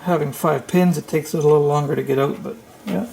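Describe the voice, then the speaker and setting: A man giving an unscripted, hands-on repair walkthrough, thinking aloud in a halting, tentative way. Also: fairly high pitch, steady tone, vocal fry, mellow, slight background noise, rough recording. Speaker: repair tutorial host.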